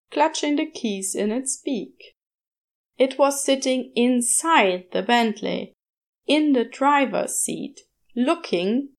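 Female podfic reader, scripted storytelling, very unhurried, fairly high voice.

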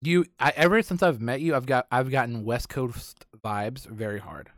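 A man's voice: mid-range voice, normal speed, fairly bright tone, dry recording. Casual male podcast host, dry close sound.

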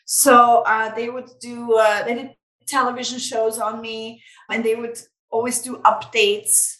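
A woman's voice: a little high, little pitch movement, unhurried, fairly bright tone. A woman from Austria, a native German speaker, giving casual, explanatory interview answers as a business founder, peppered with "you know". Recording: roomy, echoey sound.

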